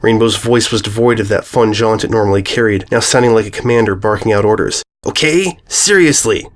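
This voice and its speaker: Narrator for scripted fiction, mid-pitched storytelling read. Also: level tone, quick pace.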